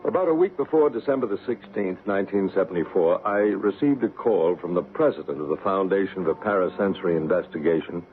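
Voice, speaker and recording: Casting: male actor as an unruffled American ghost investigator, deep-ish voice, explanatory, old radio drama with faint noise. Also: fairly quick, few pauses, lightly acted. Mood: matter-of-fact. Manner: matter-of-fact, explanatory. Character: calm, methodical male psychic investigator of ghosts. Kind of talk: 1970s radio drama acting. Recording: tinny, slightly noisy old radio.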